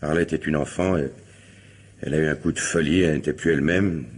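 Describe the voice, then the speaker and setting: An actor playing a wronged husband suspected of murder, guarded, hesitant and protesting, in a French radio crime drama. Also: male voice, very deep voice, steady tone, fast talker, slightly rough. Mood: pained, earnest.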